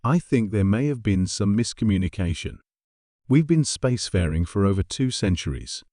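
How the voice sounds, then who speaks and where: Man as a confident warship captain woman, low-pitched. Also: expressive, normal speed, warm tone, no echo, studio quality. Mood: dryly amused. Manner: polite, assured, understated. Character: confident, dryly amused female human warship captain.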